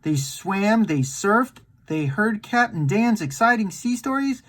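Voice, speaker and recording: A man reading a children's storybook aloud in an animated storytime style. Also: high voice, unhurried.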